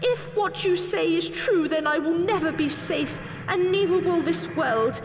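A woman's voice: extremely high pitch, in a large hall, music in the background, pitched above the performer's own voice. A woman voicing a flustered, pleading male professor who stammers and halts, in an audio story. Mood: apologetic. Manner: stammering, halting.

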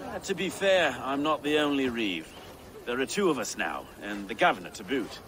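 An actor playing an earnest, courteous medieval English reeve in a video game, with old-fashioned polite phrasing. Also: male voice, medium pitch, sing-song, moderate pace, background noise, natural voice. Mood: genial, brisk. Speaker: sheriff. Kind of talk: dramatic video game cutscene acting.

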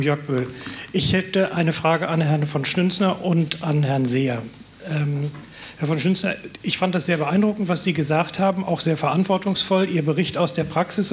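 A man with a fairly high-pitched voice addresses panelists from the audience. He is polite and articulate, laying out detailed questions in a formal style. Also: slight background noise. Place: conference hall audience.

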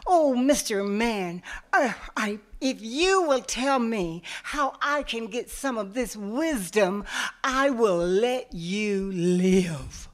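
A woman voicing a boastful, impatient male tiger in a folktale, calling out high and loud in a demanding way. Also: animated, slow. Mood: coaxing.

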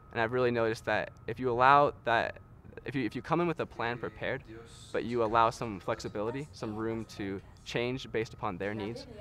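A man's voice: fairly low pitch, a bit bright. A young man from Texas, a missionary, speaking earnestly and reflectively in an outdoor interview. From Texas, USA. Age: young adult.

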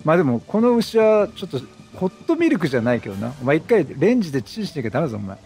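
A man's voice: on the high side, wildly swooping pitch, racing, vocal fry, darkish tone. A man doing casual gameplay commentary, chatty and full of quipped reactions. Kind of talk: casual let's-play commentary, reading dialogue aloud. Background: game music.

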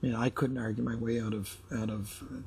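Middle-aged male counselling client, raspy with vocal fry, reflective, halting conversational delivery. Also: medium pitch, flat delivery, darkish tone. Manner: halting, repeats words as he searches. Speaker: husband in couples counselling. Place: indoor studio set with armchairs.

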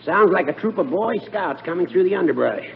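A wisecracking old male judge in 1940s radio comedy. His gravelly voice sits high and jumps around as he needles dryly. The recording is tinny, like an old broadcast. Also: expressive, a little fast, some chatter behind. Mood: teasing. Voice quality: creaky, gravelly. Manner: dry, teasing.

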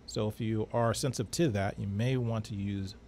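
A middle-aged man introducing a show as co-host, speaking somewhat haltingly into a close studio microphone. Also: fairly low pitch, fairly even intonation, a little mellow, slight background noise. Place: small studio, indoors. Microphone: close studio microphone on arm.

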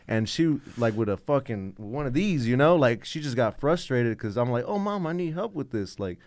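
A man's voice: mid-range voice, lively intonation, normal speed, darkish tone. A man of thirty from South Central Los Angeles, hosting a podcast in a small studio, telling stories animatedly and swearing freely. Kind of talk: casual podcast chat. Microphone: boom mic on arm.

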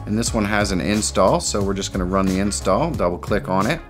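A middle-aged man with a fairly low voice talks through a software setup one click at a time, steady and methodical. Soft music sits faintly under his voice, recorded at a desk in a home studio. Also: a little fast. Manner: plain, methodical walkthrough. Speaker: tutorial host. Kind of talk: step-by-step software tutorial.

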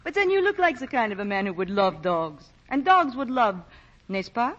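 Casting: flirtatious Frenchwoman, French accent, bright animated voice, exclamatory 1940s radio style. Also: on the high side, normal speed. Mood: flirtatious, teasing. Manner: coquettish, theatrical, exclamatory. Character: flirtatious, effusive Frenchwoman with an unruly dog. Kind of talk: 1940s radio drama acting. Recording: dull, noisy 1940 radio broadcast recording.

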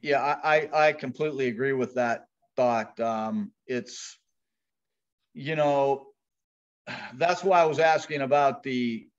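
A man taking part in a committee meeting, asking questions in a halting way with stammered, repeated starts. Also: medium pitch. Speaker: committee member. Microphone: video call. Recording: muffled video call audio.

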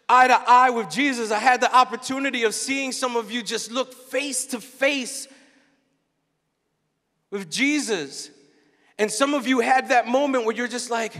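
A man in his thirties giving an animated, story-filled keynote talk to a youth conference crowd on a handheld mic. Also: high voice. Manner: animated, storytelling, acts out quotes. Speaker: keynote speaker. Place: large dark arena stage before a young crowd. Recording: long hall reverb.

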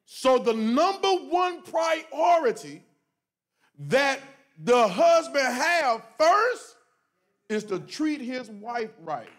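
A middle-aged man, a pastor talking to his congregation from a church stage into a handheld mic. He jokes and preaches in a lively way, often breaking into loud, emphatic exclamations. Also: very high voice, very slow. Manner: animated, joking, bursts into loud emphatic exclamations. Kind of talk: preachy conversational talk to a congregation.

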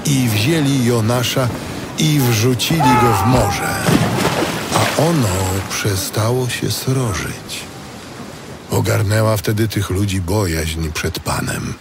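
A man narrating scripture in a solemn, deliberate storytelling style for a dramatic audio production. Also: fairly low pitch, gravelly. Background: loud cinematic music and effects.

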